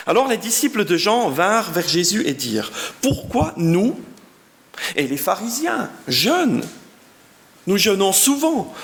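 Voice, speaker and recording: A man's voice: fairly high pitch, vocal fry. A German-speaking Swiss man in his fifties, a guest pastor preaching and reading scripture in French with a Swiss German accent, genial and chatty. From German-speaking part of canton of Bern, Switzerland. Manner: genial, chatty, self-deprecating, reads scripture with lively emphasis. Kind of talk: sermon with Bible reading.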